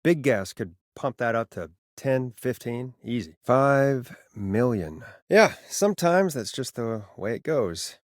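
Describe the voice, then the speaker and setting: A man's voice: mid-range voice, lively intonation, slow, hardly any pauses, dry recording, studio quality. A man voicing a weary, sarcastic late-night radio call-in host in a video game, with a wry, conversational, easily exasperated manner. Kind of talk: video game voice acting, radio patter and banter.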